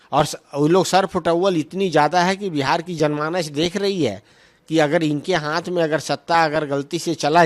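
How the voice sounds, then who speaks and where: A man speaking as a party spokesman, answering questions argumentatively with emphatic repetition and English terms mixed in. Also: a little high. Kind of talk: political press remarks, answering questions.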